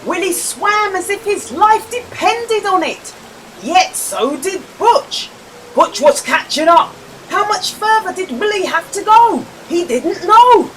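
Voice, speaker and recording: High-pitched, animated woman storyteller. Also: poor audio. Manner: dramatic, exaggerated emphasis with deliberate pauses for young listeners. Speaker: children's storyteller and reader. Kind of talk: expressive children's storybook read-aloud with quiz.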